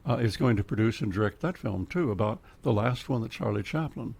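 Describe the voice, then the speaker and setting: A man's voice: on the low side, expressive, brisk, gravelly, a little mellow, husky. Rambling older Oklahoma man. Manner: rambling storyteller, laughs often, jumps between topics. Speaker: guest. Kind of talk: casual radio chat. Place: radio studio.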